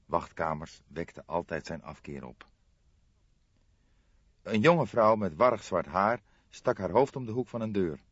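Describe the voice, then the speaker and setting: A man's voice: deep voice, expressive, a little slow, pauses often, breathy edge, dry recording, lo-fi sound. A man reading scripted literary narration as an audiobook narrator.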